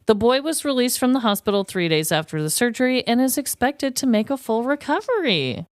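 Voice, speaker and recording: A woman's voice: on the high side, sing-song, brisk, dry recording, studio quality. A woman with an American accent, chatting casually as a podcast co-host. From Missouri, United States. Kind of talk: casual chat.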